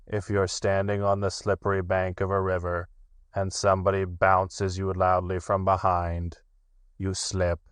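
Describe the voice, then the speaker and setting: A man voicing a gloomy, sulky old male donkey with a slow, heavy, mournful drawl. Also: deep voice, completely flat delivery, clear, resonant tone, dry recording, clean studio sound. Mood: gloomy, put-upon.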